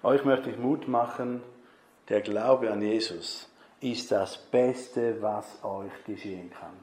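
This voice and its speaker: A man's voice: on the low side, takes it slowly, a little mellow. A man preaching a conversational sermon, putting questions to the congregation. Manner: chatty, asks the congregation questions. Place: church hall. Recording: echoey church hall.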